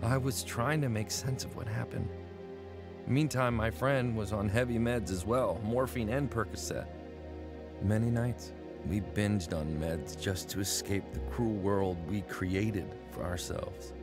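An actor playing a troubled young male airman, narrating his story gravely in first person in a radio drama. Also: on the low side, a little slow, music in the background. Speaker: narrator and main character.

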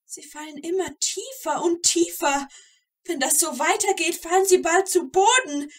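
A woman playing an excitable storyteller in a children's audio play, involved in the tale and speaking straight to listeners. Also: very high-pitched, moderate pace. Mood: alarmed. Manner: addresses the listeners, gets caught up in events. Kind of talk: dramatic scripted children's storytelling.

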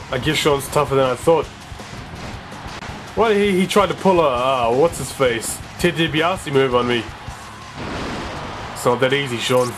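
A young man with a sore, slightly strained voice talks excitedly over a wrestling game he is playing. His chatty commentary sits at an ordinary middle pitch. Faint game music plays underneath. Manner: chatty, excitable, reads game dialogue aloud. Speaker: let's play gamer. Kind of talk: casual gameplay commentary, reading game text aloud.

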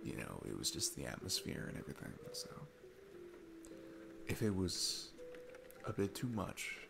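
A man playing a shy, sweet single dad smitten with his babysitter, speaking gently and haltingly in a romantic ASMR roleplay. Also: low voice, sing-song, creaky, music in the background, full character voice. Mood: earnest, tender.

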